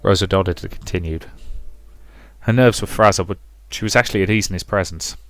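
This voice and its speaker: Man, low voice, visual novel narration, let's play reading, music behind. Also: a little fast, a bit bright, no echo.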